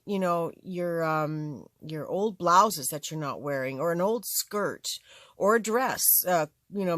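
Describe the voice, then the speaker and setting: An older woman with a slightly raspy voice hosting a casual, rambling craft tutorial, full of ums and asides. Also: on the low side, fairly bright tone, no echo, studio quality. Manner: rambling, chatty, many fillers. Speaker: craft channel host.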